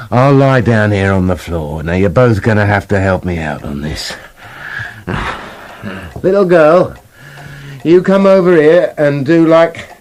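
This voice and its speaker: A man's voice: medium pitch, a little mellow. A British man playing a sardonic, bossy wolf in a comic radio play, grumbling and sarcastic. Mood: grudging, practical. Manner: sarcastic, exasperated, sweary.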